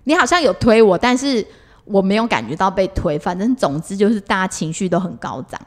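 A woman's voice: mid-range voice, expressive, fairly quick. A middle-aged woman with a Taiwanese accent chatting casually and expressively as a podcast co-host, recounting everyday life like a story.

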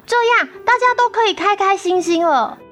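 Very high, sweet little girl. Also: animated, moderate pace, a bit bright. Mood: earnest, innocent. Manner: childlike, simple, endearing phrasing. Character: sweet, sickly little girl, cheerful and imaginative. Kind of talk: Mandarin radio drama acting. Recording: music under the voice.

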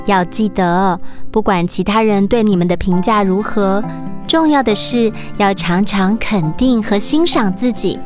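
A woman playing a gentle dolphin mother in a children's radio drama, tender and reassuring. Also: medium pitch, lightly acted. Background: background music.

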